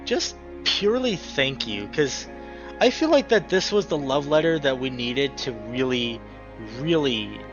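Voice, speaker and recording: A man's voice: mid-range voice, dull audio. A man giving casual, emotional gameplay commentary as a let's play host, effusive and exclamatory, drawing out his words over game music.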